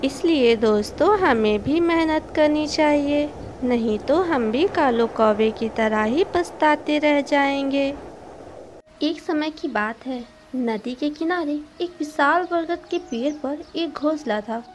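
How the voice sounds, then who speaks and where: A woman's voice: high-pitched, slow, a little hiss or hum. A woman narrating a scripted moral story for children as a storyteller.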